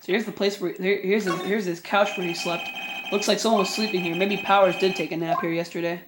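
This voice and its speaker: A woman's voice: fairly low pitch, fairly even intonation, fairly quick, very noisy. A woman hosting a game playthrough, reading the on-screen text aloud and chatting casually, her voice a little worn out. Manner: reads game text aloud, laughs easily. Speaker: let's play host. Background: game music.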